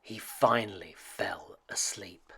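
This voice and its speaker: A man reading a children's picture book aloud in a dramatic, expressive way. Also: fairly low pitch, slow, slightly rough. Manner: dramatic, expressive, with hushed suspenseful dips. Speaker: children's story reader.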